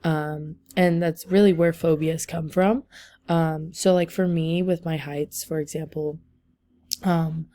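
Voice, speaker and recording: A young woman giving a class presentation over slides, losing her voice, talking haltingly with many ums and likes. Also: low-pitched, fairly even intonation, warm tone. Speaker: student presenter.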